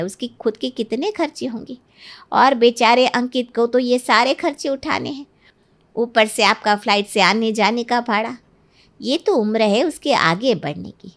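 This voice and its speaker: A woman playing a smooth-talking, manipulative middle-aged mother-in-law in a Hindi cartoon story, persuasive and full of feigned concern. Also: on the high side, fairly bright tone.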